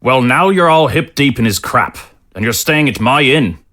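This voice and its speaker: Man acting a sharp, grumbling little innkeeper. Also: mid-range voice, animated, moderate pace. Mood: exasperated. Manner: gruff, scolding. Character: grumbling, exasperated small male innkeeper.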